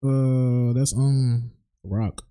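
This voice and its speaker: A man's voice: mid-range voice, fairly even intonation, drawn-out words, dark tone, clean tone. A man chatting casually over gameplay as a streamer, with slangy, profane, blunt talk. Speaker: game streamer. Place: indoors at a computer, livestreaming.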